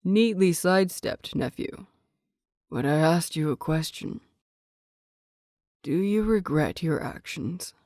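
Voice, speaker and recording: A woman voicing a stern older man, a clan teacher with a measured, formal, reserved manner, in an audio story. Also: low-pitched, darkish tone, no echo, studio quality.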